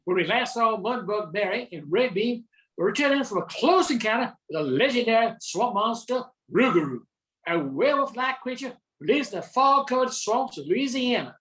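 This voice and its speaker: A woman's voice: fairly high pitch, expressive, moderate pace, a bit bright, low-quality recording. An older woman playing a folksy narrator of bayou tales in a scripted radio drama. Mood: storytelling.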